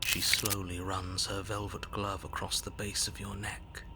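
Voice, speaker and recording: Slow, ominous horror game narrator. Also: male voice, on the low side, flat delivery, noisy background. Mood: tense. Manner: measured, solemn, ominous, turning snippy. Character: grave second-person narrator, insistent and testy. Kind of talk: dramatic visual novel narration. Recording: music behind the voice.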